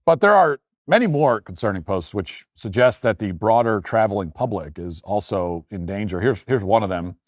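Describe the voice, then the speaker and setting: American male commentator, low pitch, dry studio-tight sound, emphatic, argumentative, monologue delivery. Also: lively intonation, moderate pace, hardly any pauses, dry recording. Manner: emphatic, argumentative, rhetorical questioning. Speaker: political commentary show host. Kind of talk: opinion commentary monologue, reading posts aloud.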